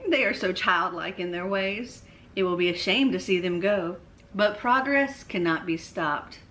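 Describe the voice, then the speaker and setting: A big bad wolf from a bedtime tale, acted out by a woman. He is bullying and condescending. His voice is bright and pressing, with a demanding manner. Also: on the low side, measured pace, slight background noise.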